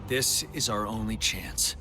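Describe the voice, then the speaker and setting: An actor playing a composed, resolute army general in a game cutscene, speaking in a measured, formal, commanding way. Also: male voice, on the low side, background noise, close to an everyday voice. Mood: resolute, calm. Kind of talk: dramatic game cutscene acting.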